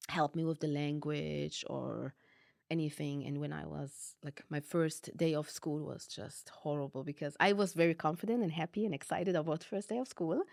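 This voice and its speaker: A woman in her thirties, raised in Germany by immigrant parents, with a mixed German and Arabic-tinged accent, telling her life story as a podcast guest with animated retellings. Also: deep voice, normal speed, vocal fry, no room sound, studio quality. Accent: mixed German and Arabic-tinged non-native English. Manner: animated, acts out past dialogue, frequent likes and ums. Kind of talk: personal storytelling.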